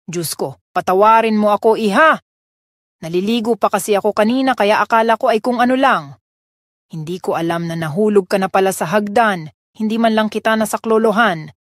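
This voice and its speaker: A woman's voice: mid-range voice, fairly quick, no room sound, studio quality, lightly acted. A woman voicing an older female housekeeper who fusses anxiously over the girl in her care. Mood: worried. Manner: anxious, fretful questioning. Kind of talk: Tagalog audio drama acting.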